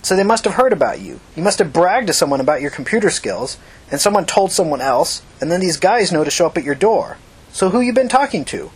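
Man voicing a blunt, husky, hard-edged woman interrogator. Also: high-pitched, big pitch swings, fairly quick, pitched above the performer's own voice, heavily acted, rough recording. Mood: insistent. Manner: blunt, pressing, confrontational. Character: tough, angry, foul-mouthed woman interrogating as amateur detective.

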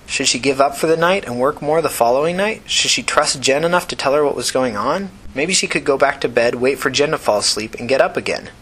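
A man's voice: mid-range voice, animated, brisk, hardly any pauses, fairly bright tone, slightly rough, low-quality recording. A man reading scripted fiction aloud as an audiobook narrator.

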